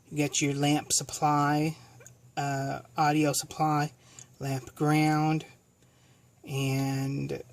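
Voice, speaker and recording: Halting presenter doing a casual demo. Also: medium pitch. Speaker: video presenter demonstrating equipment. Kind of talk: casual hands-on product demonstration.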